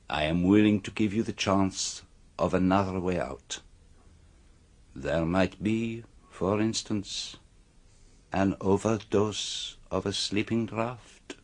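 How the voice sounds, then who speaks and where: A courteous, shrewd older male detective in a radio drama. His voice sits fairly low and his words are deliberate and exact, with a formal politeness even as he calmly accuses. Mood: grave, measured.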